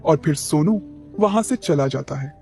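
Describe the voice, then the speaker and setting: A male narrator telling a cartoon moral story. His voice sits fairly high for a man, and he moves the plot along steadily. Gentle music plays under him. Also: moderate pace. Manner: steady, explanatory. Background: faint music.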